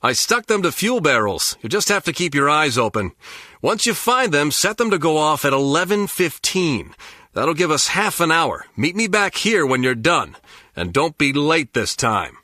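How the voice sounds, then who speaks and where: An actor playing a brash, patronizing, experienced secret agent in a video game. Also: male voice, on the high side, very animated, moderate pace, sharp tone, no room sound, studio quality, heavily acted. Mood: businesslike. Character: cocky, condescending male secret agent, experienced and stubborn. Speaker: field agent.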